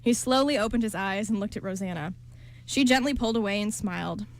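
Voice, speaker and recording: A young woman narrating a romance script aloud for an amateur radio show, in a steady storybook manner. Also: medium pitch, a little fast.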